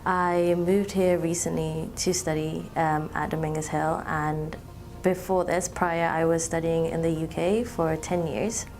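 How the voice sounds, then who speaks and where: A woman in her twenties from Malaysia, a student talking in an interview, relaxed, with many ums and restarts. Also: sits low, measured pace, soft background music. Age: 20s. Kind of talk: interview testimonial.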